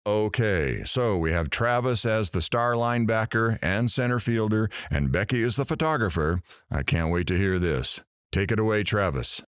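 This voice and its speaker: Man playing a wildly animated, sarcastic radio host. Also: normal speed, smooth, no room sound, clean studio sound, close to an everyday voice. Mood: eager, amused. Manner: sarcastic, theatrical swoops in pitch. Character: wisecracking, teasing male radio talk show host. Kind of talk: radio talk show patter in audio drama.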